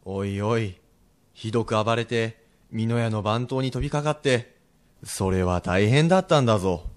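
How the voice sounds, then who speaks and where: A man playing a frank, steely Edo-era merchant husband with rough old townsman speech in a radio drama. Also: on the low side, moderate pace, natural voice. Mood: concerned, frank. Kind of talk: period radio drama acting.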